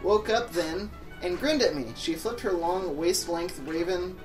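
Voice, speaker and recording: A man's voice: high-pitched, measured pace, soft background music, low-quality recording. A young man co-hosting a let's play, switching between casual banter and mock-dramatic story reading in a sardonic way. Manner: sardonic, sweary. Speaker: let's play co-host reading fan fiction aloud.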